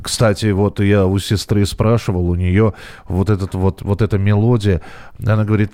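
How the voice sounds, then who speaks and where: A man's voice: on the low side, darkish tone. A man hosting a casual live radio phone-in, talking in a hesitant way as if thinking aloud. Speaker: radio host.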